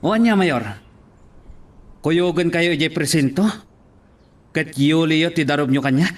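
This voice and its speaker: A man's voice: fairly high pitch, a little slow, slight background noise, natural voice. A man playing a defiant, indignant challenger in an Ilocano audio drama. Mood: challenging.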